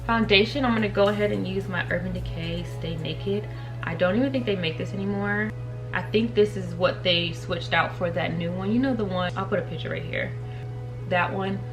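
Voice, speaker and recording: A woman of thirty with a mid-pitched voice, chatting casually through a makeup routine. She giggles often and talks to her viewers as y'all. Soft music plays faintly behind her. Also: rough recording. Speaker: beauty and faith vlogger. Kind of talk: casual makeup tutorial chat.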